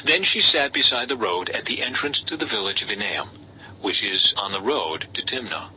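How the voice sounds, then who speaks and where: A man reads a Bible story as scripted narration, in a measured storytelling way with lightly dramatized dialogue. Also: fairly low pitch, expressive, pushed and bright, low-quality recording. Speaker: audiobook-style scripture reader. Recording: faint background noise.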